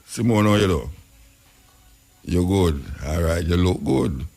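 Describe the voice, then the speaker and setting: A man's voice: sits low, animated, a little slow, gravelly, a little mellow. A man with a Jamaican accent hosting a radio show, rambling through casual commentary in patois with frequent chuckles. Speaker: radio host.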